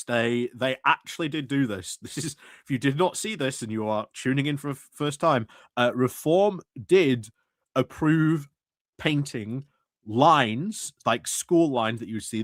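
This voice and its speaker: A man's voice: medium pitch, no room sound. Casual male commentator, close dry sound. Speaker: streamer commentator. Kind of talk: casual off-the-cuff political commentary.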